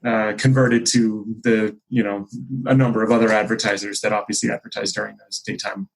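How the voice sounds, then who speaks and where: A man's voice: lowish voice, level tone, brisk, vocal fry, a little hiss or hum, rough recording. Discursive man explaining as an expert guest. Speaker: company executive, podcast guest.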